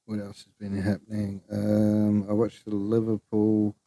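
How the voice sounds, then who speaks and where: Rambling laid-back podcast host. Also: male voice, lowish voice, completely flat delivery, a little slow, dark tone, no echo. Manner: rambling, loose, swears, trails off. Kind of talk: casual solo podcast chat.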